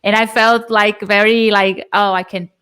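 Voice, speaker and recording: A woman in her thirties with a Brazilian Portuguese accent, speaking English as a second language in a relaxed interview. She talks in halting bursts, full of fillers and soft laughs, at a medium pitch. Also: clear, resonant tone. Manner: hesitant, many fillers, frequent laughs. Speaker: guest. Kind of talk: casual interview chat.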